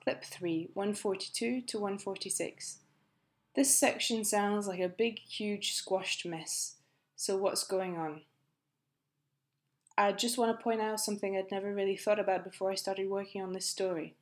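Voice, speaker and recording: A woman with a British accent teaching English pronunciation in a clear, careful, scripted lesson. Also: mid-range voice, fairly even intonation, measured pace. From Britain.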